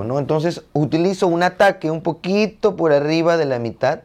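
A man talks viewers through audio effects one step at a time, speaking directly to them. Loud strummed jarana music plays alongside his voice the whole way through. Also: on the high side. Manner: explanatory, addresses viewers directly. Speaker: audio demonstrator playing a jarana. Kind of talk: instructional demonstration. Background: plucked jarana strumming.

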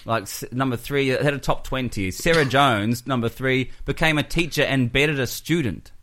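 A man's voice: mid-range voice, normal speed, a bit bright. A man co-hosting a casual sports podcast, chatting and reading news items aloud with jokes. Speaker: podcast co-host.

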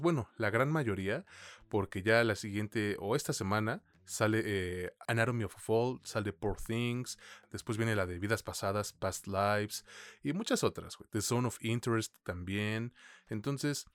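A man's voice: lowish voice, dry recording. A man with a Mexican accent hosting a film review podcast in a colloquial, slangy way. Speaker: podcast host.

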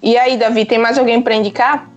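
A woman with a Northeastern Brazilian accent hosts a casual podcast conversation. Her voice sits a little high and she sounds chatty and enthusiastic, with faint music behind her. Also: fairly even intonation, fairly quick, lo-fi sound. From Pernambuco, Northeast Brazil. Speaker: podcast host.

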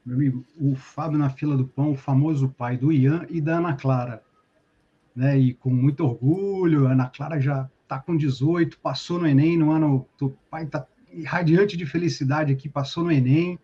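A husky, fairly high male voice with a Carioca accent chats as a guest on a podcast. He is friendly and easygoing and uses a lot of slang. The sound is dull and lo-fi, like a remote call. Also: fairly even intonation, moderate pace, warm tone. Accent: Carioca Portuguese. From Rio de Janeiro state, Brazil. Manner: friendly, chatty, full of slang. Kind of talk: casual podcast chat.

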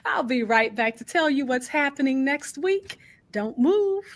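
High-pitched woman hosting a storytelling show. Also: animated, a little slow. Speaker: radio show host.

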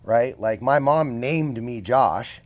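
A man's voice: mid-range voice, expressive, slow. A man as a podcast guest, explaining his views earnestly and often checking in with "right?" and rhetorical questions. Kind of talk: casual conversational interview.

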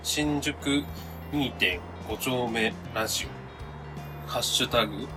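A man co-hosting a podcast in casual chat with friends, playful and quick to break into laughter as he talks. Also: mid-range voice, music in the background. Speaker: podcast co-host.

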